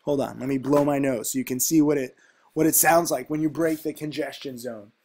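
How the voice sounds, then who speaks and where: A man's voice: mid-range voice, fairly even intonation, fairly quick, darkish tone. A man with a stuffy, congested nose talking casually and emphatically through stock charts, tossing in "okay?" as he goes. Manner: rambling, emphatic, fillers like "okay?". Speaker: stock trading educator. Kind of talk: casual screen-share chart walkthrough.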